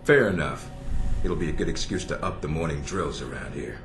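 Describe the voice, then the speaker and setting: A man playing a gruff agency commander in a video game, plain-spoken and authoritative with measured approval. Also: a bass voice, a little hiss or hum. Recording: roomy echo, game music behind.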